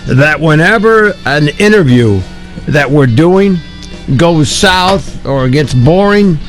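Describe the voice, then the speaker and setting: A man's voice: on the high side, animated, takes it slowly. A man hosting a radio show in casual, joking, irreverent banter. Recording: radio aircheck with music bed.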